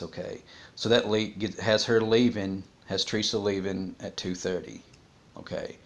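A man in his forties with a fairly low voice talks off the cuff in a room at home. His delivery is casual and halting, as if working through his reasoning aloud into a device's built-in mic. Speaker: vlogger discussing a criminal case. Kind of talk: casual, unscripted monologue. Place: small room at home, indoors.

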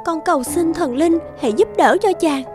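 A devoted young fairy-tale princess, played by a woman. She speaks high and gently, in a pleading manner, over faint cartoon music. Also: normal speed. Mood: gentle pleading.

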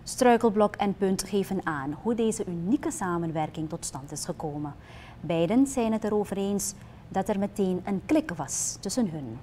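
Woman, news presenter, even scripted read, faint background noise. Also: medium pitch. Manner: measured, even newsreader delivery. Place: TV news studio.

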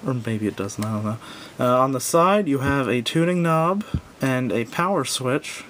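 Male reviewer, medium pitch, casual and unscripted, halting and self-correcting, home recording with slight rumbling noise. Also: expressive, a bit bright. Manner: hesitant, rambling, self-correcting. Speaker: product reviewer. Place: indoors at a wooden table.

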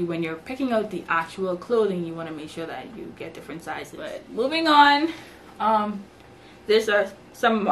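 A young woman talks to camera from her bedroom, chatty and animated, gesturing as she goes. Her voice sits at an ordinary middle pitch. A faint hiss of room noise runs underneath. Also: rough recording. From Bahamas. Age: young adult. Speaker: vlogger. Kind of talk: casual haul vlog. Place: bedroom, indoors.

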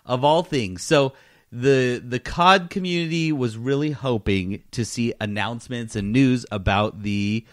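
A man's voice: mid-range voice, unhurried. A man hosting a gaming podcast in casual, joking chat with a mock-incredulous manner. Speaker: podcast host.

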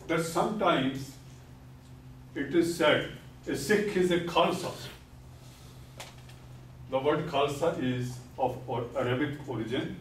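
An older man giving a classroom lecture, explaining terms slowly and deliberately and repeating key words, standing at a podium. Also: mid-range voice, slight background noise. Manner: gestures while speaking at a podium. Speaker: lecturer on Sikhism. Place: classroom with whiteboard. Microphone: far camera. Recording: echoey classroom from far off.

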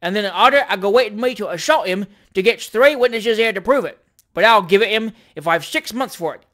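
A narrator putting on a belligerent London cab driver, rough and challenging in manner. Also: mid-range voice, lively intonation, fairly quick, lightly acted. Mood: combative. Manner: cockney dialect with dropped sounds, challenging shouts.

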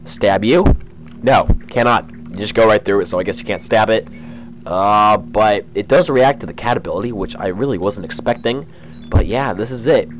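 A young man with a cold and a slightly raspy voice, chatting casually as he narrates a game walkthrough. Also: fairly low pitch, moderate pace, faint music behind, poor audio. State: has a cold. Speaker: let's play host. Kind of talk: casual gameplay commentary.

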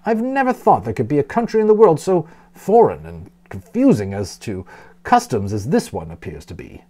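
A bewildered storybook professor, voiced by a man reading aloud, polite and eagerly curious. His wordy, formal pleas swing widely in pitch, with a soft mellow tone. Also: wildly swooping pitch, moderate pace. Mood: bewildered, pleading. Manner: pleading, wordy, formal questioning. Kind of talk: storybook character voice in a read-aloud.

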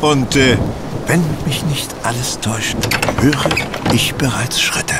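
An actor playing a composed, courteous, self-assured gentleman detective in a radio play, measured and polite. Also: medium pitch, very noisy, lightly acted. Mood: calm, reassuring.

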